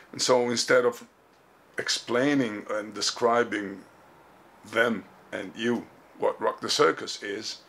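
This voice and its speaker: A man's voice: mid-range voice, vocal fry, fairly bright tone. A middle-aged man, a musician, talking casually to camera as he presents a show. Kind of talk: casual vlog talk to camera. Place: small room indoors.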